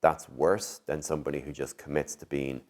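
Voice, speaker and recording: A man giving conversational interview answers as a tech executive, thinking aloud in an animated way with lots of "you know" and "like". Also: extremely low pitch, moderate pace.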